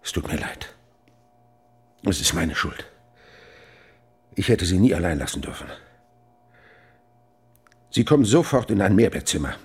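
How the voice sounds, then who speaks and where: An actor playing a commanding, protective doctor in charge, forceful in a radio drama, with music behind. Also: male voice, sits low, big pitch swings, unhurried, long pauses, slightly rough, full character voice. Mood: remorseful.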